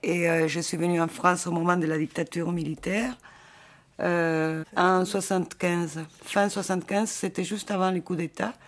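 A woman's voice: lowish voice, level tone, moderate pace. A woman from Argentina working as a translator, speaking hesitantly with many fillers in an interview.

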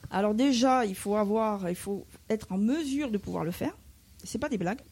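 A woman's voice: medium pitch, moderate pace, darkish tone, a little hiss or hum. A woman from the south of France with a slight southern French accent, a Spanish teacher answering interview questions with many hesitant fillers.

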